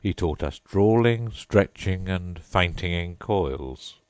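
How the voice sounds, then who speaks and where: A man voicing a melancholy, sobbing male sea creature in a storybook reading, slow and mournful. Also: low voice, lively intonation, no room sound.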